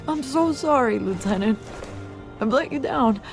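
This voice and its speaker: An actress playing a shaken, guilt-ridden police sergeant in a video game, groggy, halting and pleading. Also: female voice, on the high side, lively intonation. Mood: remorseful, halting. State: dazed, groggy. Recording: loud music under the voice.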